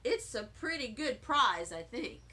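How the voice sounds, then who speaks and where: A woman's voice: on the high side, sing-song, measured pace, sharp tone, exaggerated voice. A woman voicing a friendly, easygoing dad who patiently explains a party game in a children's story. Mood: calm, encouraging. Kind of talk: children's audiobook character voice.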